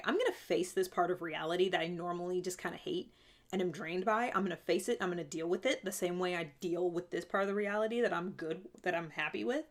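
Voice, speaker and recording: A woman hosting a casual, unscripted video, speaking in a conversational, reflective way and leaning on key words. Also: fairly low pitch. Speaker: video host sharing self-growth advice. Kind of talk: casual, unscripted talking to viewers.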